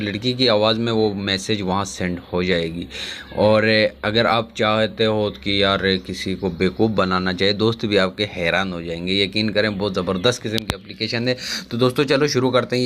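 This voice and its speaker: A man with a fairly low voice hosts a casual app tutorial. He talks chattily and addresses his viewers directly as friends while explaining each step. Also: a bit bright, a little hiss or hum. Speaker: YouTube host.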